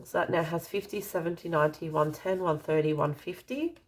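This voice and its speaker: An Australian woman with a slightly croaky, dry voice, casually narrating as she counts out cash in an unhurried way. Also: low-pitched, rough recording. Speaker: budgeting vlogger.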